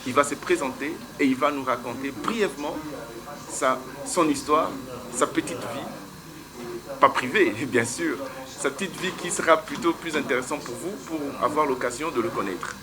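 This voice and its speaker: A man hosts a casual talk show from an open-air straw-hut restaurant. He talks in a hesitant, roundabout way, full of fillers and restarts. A crowd can be heard clearly behind him. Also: medium pitch, normal speed, poor audio. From Congo. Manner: hesitant, many fillers and restarts, chuckles often. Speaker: host.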